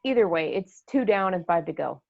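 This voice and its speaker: Woman playing sci-fi crew member, sardonic, tough, vocal fry, dull lo-fi sound, amateur script reading. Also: on the low side, lively intonation, a little fast. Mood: grim, wry. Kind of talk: amateur table read of a film script.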